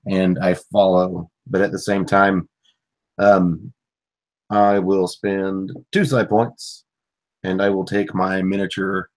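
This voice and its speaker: A man with a low voice and a flat, even delivery steps through his character's actions in the first person. He speaks plainly, with little rise and fall. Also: rough recording. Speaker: player. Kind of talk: tabletop game play.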